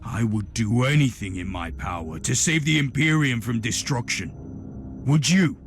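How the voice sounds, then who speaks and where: An actor playing a stern, commanding Inquisitor in a video game, speaking with deliberate authority and dry irony. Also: male voice, mid-range voice, expressive, natural voice. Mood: firm. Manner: authoritative, deliberate, dryly ironic. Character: stern, commanding male Imperial Inquisitor, dryly sardonic. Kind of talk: scripted video game voice acting. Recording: music behind the voice.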